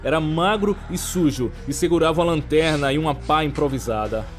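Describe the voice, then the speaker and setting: A man with a Brazilian accent narrating a scripted horror story in a measured, dramatic way. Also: fairly high pitch, soft background music.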